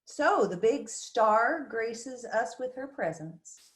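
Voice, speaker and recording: A woman's voice: a little high, expressive, slow pace. A woman playing a stern, sardonic mother in a screenplay table read, recorded in an echoey room.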